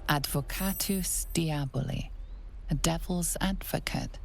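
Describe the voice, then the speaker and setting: A woman narrating a fantasy game in a grave, ominous, measured voice. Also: low-pitched, creaky, a little hiss or hum, heavily acted. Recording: loud music behind.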